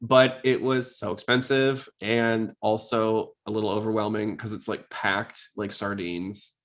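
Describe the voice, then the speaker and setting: A man's voice: on the low side, little pitch movement, a little slow, a bit bright. A man hosting a podcast in a casual, inquisitive, conversational way. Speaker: podcast host.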